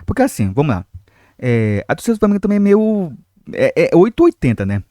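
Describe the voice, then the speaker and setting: A young man with a Brazilian Portuguese accent runs his football channel in a loose, chatty way, full of fillers and asides to the audience. His voice is mellow and a little rough from a cold he is getting over. The sound is dry and close. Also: fairly high pitch, big pitch swings, a little fast, no echo. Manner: chatty, many fillers, addresses viewers directly. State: recovering from a cold, irritated throat. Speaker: football commentary channel host. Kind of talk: casual football commentary to camera.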